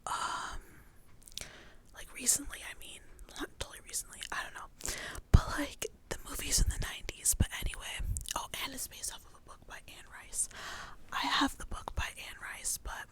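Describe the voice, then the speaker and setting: A young adult woman whispering breathily in an informal, rambling, chatty ASMR style. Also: moderate pace. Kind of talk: whispered ASMR ramble about favorite movies.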